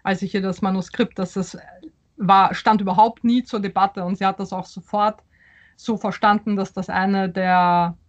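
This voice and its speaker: A woman's voice: medium pitch, fairly even intonation, moderate pace, muffled recording. A woman who grew up speaking Polish first, an author answering interview questions thoughtfully, with frequent fillers and restarts.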